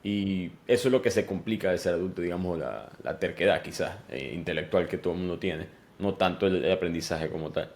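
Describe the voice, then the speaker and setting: Brief: podcast host, man with a lowish voice, reflective and questioning, relaxed interview tone. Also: brisk, hardly any pauses, slight background noise.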